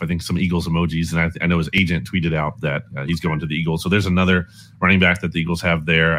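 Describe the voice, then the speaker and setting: A man with a low-pitched voice speaks as a guest analyst on a sports show. He talks through football in a casual, conversational way. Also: fairly bright tone.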